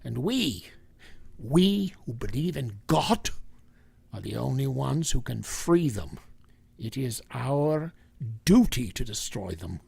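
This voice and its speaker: Male actor as intense professor, slow, deliberate, dry close sound, solemn pauses, radio drama. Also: mid-range voice, big pitch swings, gravelly, a little mellow, husky, no room sound, heavily acted. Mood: grave, urgent. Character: grave, intense professor and vampire hunter. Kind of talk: vintage radio drama acting.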